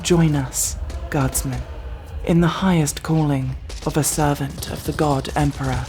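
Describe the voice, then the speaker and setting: A woman's voice: sits low, fairly even intonation, a little slow, a little mellow, faint music behind. A woman playing a devout, zealous sci-fi battle sister in an ASMR roleplay, with solemn, preaching, archaically formal speech. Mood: fervent, rallying. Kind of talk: ASMR roleplay, dramatic monologue.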